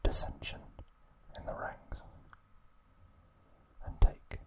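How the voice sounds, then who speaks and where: A man whispers an ASMR narration very slowly. His delivery is hushed and unhurried, taking its time through each phrase as a host explaining things for relaxation. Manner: slow, hushed, unhurried trivia recap delivery.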